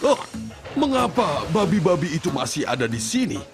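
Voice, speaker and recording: A man voicing a pompous, easily flustered railway controller in a children's cartoon, with theatrical drawn-out exclamations. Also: a little high, sing-song, music in the background.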